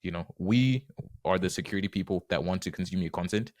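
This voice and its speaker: A man's voice: sits low, fast, no echo, studio quality. A young man from Zimbabwe, a guest on a podcast, talking in an easygoing, earnest way with many fillers. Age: young adult. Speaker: guest, penetration tester. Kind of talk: casual podcast interview.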